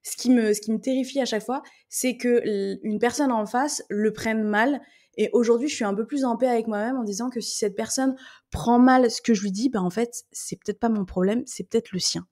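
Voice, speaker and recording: A woman's voice: fairly high pitch, fairly quick, warm tone. A woman guest on a podcast, telling stories emphatically and acting out quoted dialogue. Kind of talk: casual chat.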